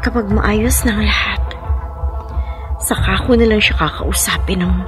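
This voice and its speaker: A woman's voice: mid-range voice, measured pace, over music, natural voice. A woman playing an anxious young wife and dutiful daughter in a horror radio drama, with emotional, tremulous delivery. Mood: troubled, subdued.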